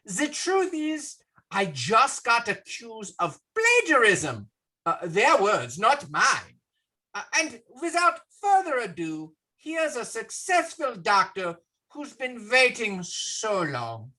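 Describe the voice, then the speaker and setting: A cheesy bar emcee and his groan-worthy puns, read by a woman. The voice is bright and leaps around in pitch, but the delivery stays slow and deliberate. He lands each punchline with a deadpan, hammy timing. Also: exaggerated voice. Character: male bar emcee telling corny puns. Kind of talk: virtual play reading.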